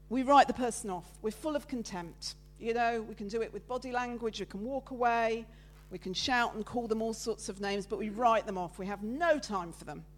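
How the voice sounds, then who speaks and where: A woman preaches with a loud, lively voice that sits a little high. She chats freely with the people in front of her and works through slides in an informal sermon. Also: normal speed. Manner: loud, lively, chatty with the congregation. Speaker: preacher giving a talk at church. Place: church meeting room with listeners present.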